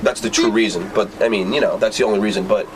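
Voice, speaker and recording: Fast, insistent man arguing. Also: fairly low pitch, steady tone, crowd noise, low-quality recording. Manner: insistent, repeats phrases. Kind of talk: heated argument.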